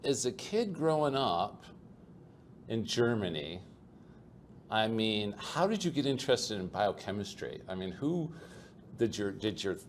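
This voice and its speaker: A man's voice: on the low side, sing-song, unhurried. A middle-aged man hosting an interview, chatty and repetitive, often tagging "okay?" onto his sentences. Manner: chatty, repeats words, adds tag questions like "okay?". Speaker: podcast host. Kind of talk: conversational interview. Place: conference venue. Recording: faint background noise at a conference.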